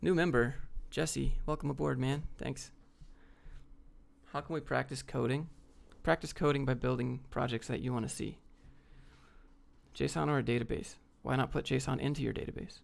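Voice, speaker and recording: Casual, creaky-voiced man from the US. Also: medium pitch, steady tone, normal speed. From United States. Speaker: livestream host answering viewer questions. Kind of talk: casual off-the-cuff Q&A chat, reading questions aloud.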